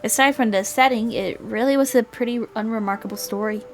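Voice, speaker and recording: A woman voicing a reflective older man who narrates a visual novel in a measured, wistful way. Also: on the high side, soft background music, close to an everyday voice. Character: reflective older male lawyer, a wedded war veteran.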